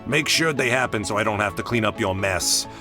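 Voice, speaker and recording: A man voicing a gruff, cynical older cop in a comic dub, with a gravelly voice. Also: on the low side, brisk, music in the background, lightly acted. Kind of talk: dramatic comic-dub acting.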